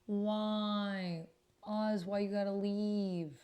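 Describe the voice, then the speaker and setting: A woman's voice: medium pitch, little pitch movement, very slow, warm tone, clean tone. A woman hosting a livestream, chatting casually and informally with her viewers. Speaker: livestream host.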